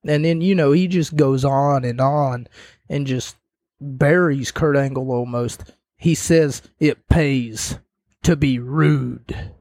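Casual, slow-paced man reviewing wrestling. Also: fairly high pitch, a little mellow, no echo, studio quality. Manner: rambling, jokey asides. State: voice starting to go. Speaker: wrestling show reviewer, host. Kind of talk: casual spoken review and recap.

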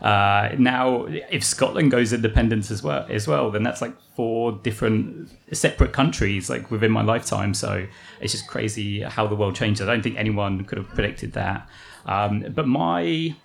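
A man's voice: lowish voice, moderate pace, vocal fry. A man with an English accent, a guest telling his family story in a chatty, rambling way. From England. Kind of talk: casual interview answers.

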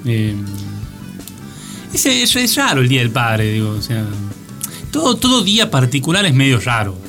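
A man's voice: mid-range voice, wildly swooping pitch, moderate pace, sharp tone. A man with an Argentine accent, co-hosting a casual radio chat with a joking, ironic manner. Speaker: radio show co-host. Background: music playing behind the voice.

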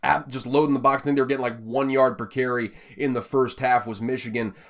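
A man's voice: medium pitch, fairly even intonation, fast talker, fairly bright tone. A man with a Southern American accent hosting a casual college football rundown, rambling and conversational with plenty of fillers. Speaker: college football podcast host. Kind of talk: casual sports commentary.

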